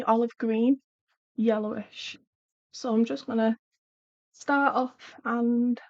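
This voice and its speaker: A woman in middle age, her voice congested from a coming cold and a sore throat. She talks in a fairly high, level tone, drifting off mid-sentence as she focuses on her work. The sound is dry and a little dull, like a home live-stream mic. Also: darkish tone, dry recording. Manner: chatty and apologetic, trailing off as she works. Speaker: art channel host. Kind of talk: casual live-stream chat while swatching.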